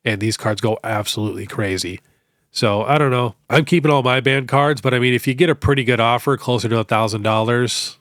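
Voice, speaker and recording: A young man with a stuffed-up nose from a cold, hosting a casual question-and-answer show in a relaxed, chatty way full of fillers. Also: lowish voice, dry recording, clean studio sound. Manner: relaxed, chatty, many fillers like "you know". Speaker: host. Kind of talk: casual Q&A on card collecting.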